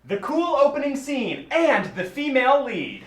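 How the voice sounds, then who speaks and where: Woman announcer with wildly swooping pitch. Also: very animated, moderate pace. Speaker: announcer of parody scene titles. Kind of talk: short announced chapter titles. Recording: echoey room with clear noise.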